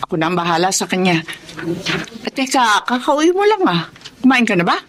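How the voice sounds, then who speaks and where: A woman's voice: mid-range voice, very animated, brisk, a little hiss or hum, natural voice. A woman with a Filipino accent playing a caring, fretful older mother in a radio drama, fussing and soothing. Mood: concerned, gentle.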